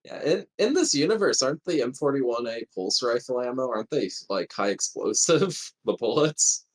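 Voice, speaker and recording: A man's voice: medium pitch, lively intonation, normal speed. A man chatting casually and nerdily as a player in a tabletop roleplaying game. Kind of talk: casual nerdy game chat, sweary.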